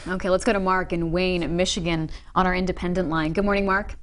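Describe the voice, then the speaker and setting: Lowish-voiced woman moderating call-ins. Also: level tone, fast talker. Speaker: host of a political call-in show.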